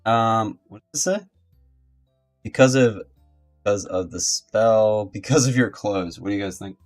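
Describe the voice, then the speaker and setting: Slow, low-voiced man streaming a game. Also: soft background music. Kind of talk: casual live-stream commentary.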